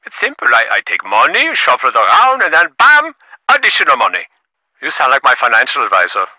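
A man's voice: medium pitch, no echo, highs cut off. A man voicing a smug, impatient rich businessman in a video game, glib and self-important, with stammering, sarcastic delivery. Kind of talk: acted game dialogue.

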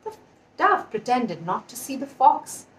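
Animated high-voiced Indian woman storyteller. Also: measured pace. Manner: expressive, dramatic emphasis, questions to listeners. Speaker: children's storyteller with puppets. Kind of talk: animated storytelling for young children. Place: indoor room with patterned wall. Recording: echoey room, distant mic.

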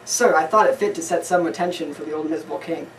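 A woman's voice: sits low, fast talker. Proud young nobleman played by a woman, distant sound. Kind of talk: amateur Shakespearean stage acting. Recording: distant mic, noisy amateur recording.

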